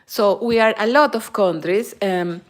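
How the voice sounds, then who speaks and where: A Peruvian woman with a Peruvian Spanish accent, hosting a live crochet tutorial in slow, halting English, often switching into Spanish. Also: medium pitch. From Peru. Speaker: crochet channel host. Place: home in Germany.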